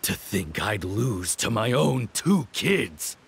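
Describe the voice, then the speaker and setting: Slow, haughty villainous baron. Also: male voice, fairly low pitch, big pitch swings, vocal fry, husky, full character voice. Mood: bitter defeat. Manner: pompous, mocking, deliberate. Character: haughty, cruel middle-aged baron, villainous father. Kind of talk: theatrical anime game villain acting.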